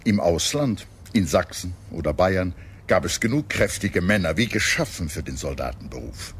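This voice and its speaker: A man reading a scripted history documentary in a measured, expressive storytelling manner. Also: deep voice, slightly rough. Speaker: documentary narrator. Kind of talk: scripted documentary narration with dramatized quotes. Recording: music under the voice. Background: background music.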